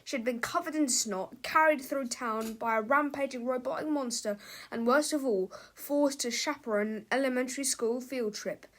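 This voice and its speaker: A woman's voice: high-pitched, normal speed. A woman reading a children's storybook aloud as narrator, in a dramatic, theatrical manner.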